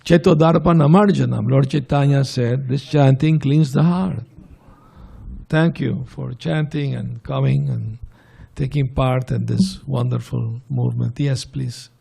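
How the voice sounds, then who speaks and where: A man's voice: mid-range voice, steady tone, unhurried, creaky, warm tone, breathy edge. A man leading a scripture class and patiently answering questions from the audience. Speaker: swami giving a class.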